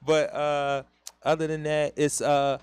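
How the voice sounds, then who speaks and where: A man's voice: on the high side, level tone, slow. A man with a Philadelphia accent hosting a casual internet radio talk show, rambling loosely and laughing often. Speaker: podcast host.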